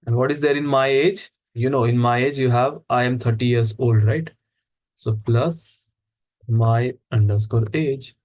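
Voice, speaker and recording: A man's voice: mid-range voice, fairly even intonation, measured pace, a little mellow, low-quality recording. A man teaching a live coding lesson who walks through each step and asks the class questions as he goes. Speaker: instructor.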